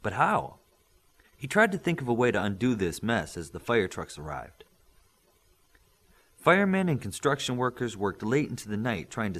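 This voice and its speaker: Expressive male audiobook narrator with lively pitch. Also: breathy edge. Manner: expressive storytelling, acting out dialogue. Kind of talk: scripted narration of a children's superhero novel.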